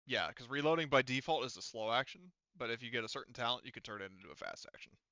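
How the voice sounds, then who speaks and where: A man's voice: mid-range voice, animated, quick pace, sharp tone, dry recording, dull audio. A man acting as game master in a tabletop roleplaying game, talking casually and thinking aloud through rules and dice rolls.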